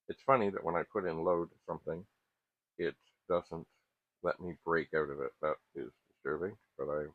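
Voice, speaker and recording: A middle-aged man giving casual, unscripted tech commentary as he works, thinking aloud in a halting, self-questioning way. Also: low-pitched, gravelly, dry recording. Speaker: host of a vintage computer repair channel. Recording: muffled, phone-like narrow band.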